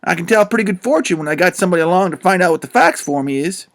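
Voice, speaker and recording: A man's voice: sits high, lively intonation, very fast, fairly bright tone. A man voicing an old bald male con man and preacher with a folksy backwoods drawl in an audiobook. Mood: boastful. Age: older. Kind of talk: audiobook character voice.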